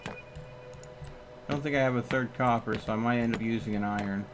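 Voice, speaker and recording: A man's voice: medium pitch, steady tone, normal speed, over music. A man giving casual live gameplay commentary as a streamer, thinking aloud in short, sometimes repeated phrases. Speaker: video game streamer.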